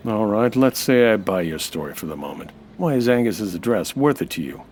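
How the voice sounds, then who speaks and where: An actor playing a suspicious, hard-boiled American nightclub owner who narrates in a wry, terse radio noir style. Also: male voice, lowish voice, lively intonation, a little fast, darkish tone, slight background noise. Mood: sceptical. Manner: wry, terse, tough-talking. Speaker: actor playing lead detective-style hero. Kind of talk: old-time radio noir monologue and dialogue.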